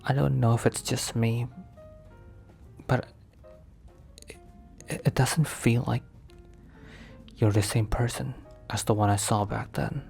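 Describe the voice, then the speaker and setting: An actor playing a protective mafia boss boyfriend in an intimate ASMR roleplay, speaking slowly and hesitantly in a confiding, sometimes breathy way. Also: male voice, medium pitch, fairly even intonation, a little mellow, faint music behind. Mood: tender, weary.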